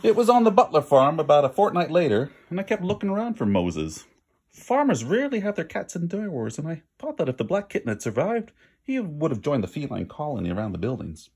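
Animated, husky-voiced man reading a story. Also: on the high side. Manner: pauses to chat with listeners and explain words. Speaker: storybook reader. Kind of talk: animated read-aloud storytelling.

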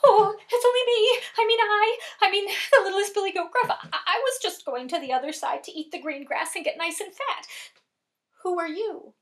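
A woman's voice: very high voice, normal speed, pitched above the performer's own voice. A woman voicing a small, timid young billy goat in a storybook tale, stammering and pleading in a hurry. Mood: frightened.

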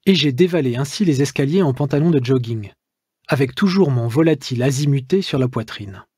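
A man reads his own memoir in a measured, literary way. His voice is slightly husky, with a middle pitch. The close studio recording is dry, with no room sound. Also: expressive, dark tone, no room sound. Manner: measured, literary first-person reading. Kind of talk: audiobook narration.

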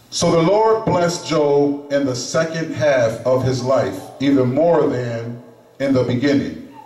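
A middle-aged man preaching a sermon in a small chapel into a handheld microphone, emphatic and pausing often. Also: medium pitch, a little mellow. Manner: slow, emphatic preaching with pauses. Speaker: lay preacher. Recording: echoey room, camera at a distance.

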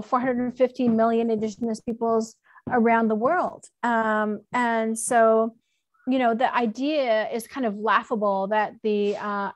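A woman giving a conversational academic talk over a video call, in an informal and frank way. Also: a little high. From Alberta, Canada. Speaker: keynote speaker, law professor. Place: indoor room at home, on a video call. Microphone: computer mic on a video call.

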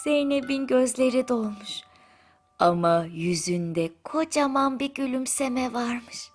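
A woman narrating a bedtime story in a soothing, gentle manner with drawn-out pauses. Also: high voice, animated. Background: music.

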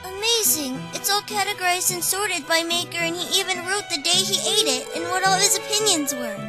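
A woman's voice: very high voice, brisk, over loud music. A woman voicing a sweet, cheerful and caring small schoolboy with a cutesy, childlike way of talking in a manga fan dub. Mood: cheerful, curious. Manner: cutesy, childlike address with pet names.

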